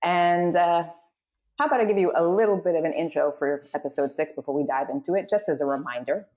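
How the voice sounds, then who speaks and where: Woman host, slightly low voice, narrating scripts at a live table read. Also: quick pace, a little mellow.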